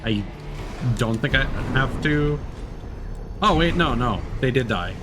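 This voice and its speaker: A man's voice: medium pitch, animated, slow, noisy background. A man giving casual let's play commentary as a video game streamer.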